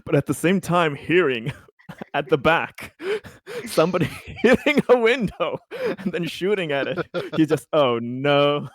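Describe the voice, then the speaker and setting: Animated man chatting through a game. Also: a little high, unhurried, dry recording. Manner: amused, laughs readily, swears. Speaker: tabletop game player. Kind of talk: casual game chat, narrating his character's moves.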